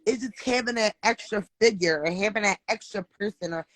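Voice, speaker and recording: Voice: medium pitch, brisk, a bit bright, no room sound. A host giving earnest, encouraging advice in a casual chat, repeating phrases for emphasis.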